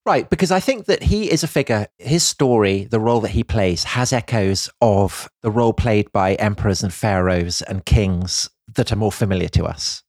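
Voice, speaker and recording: Low-voiced man explaining history thoughtfully. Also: animated, moderate pace, few pauses, darkish tone, no echo, studio quality. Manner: thoughtful, explanatory, hedging with "I think". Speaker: podcast co-host. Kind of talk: conversational history podcast.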